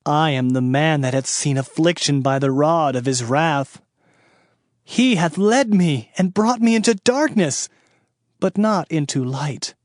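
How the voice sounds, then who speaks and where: A man narrating scripture in a solemn, measured, dramatized reading. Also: fairly high pitch, animated, dry recording, clean studio sound. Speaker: Bible narrator. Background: background music.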